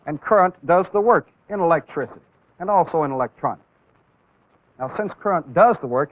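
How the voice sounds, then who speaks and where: A man with a somewhat high-set voice teaches in the manner of an old training film. He explains deliberately, one step at a time, and puts weight on key terms. The sound is thin and phone-like, with a dry, echo-free closeness. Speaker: instructor in a military training film. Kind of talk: instructional demonstration lecture.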